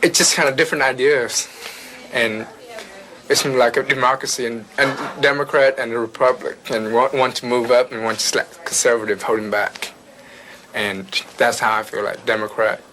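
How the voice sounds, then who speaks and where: Young man, Vietnamese accent, student interviewee, bright forceful voice, halting and repetitive, faint noise. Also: mid-range voice, steady tone, low-quality recording. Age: young adult. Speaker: university student being interviewed.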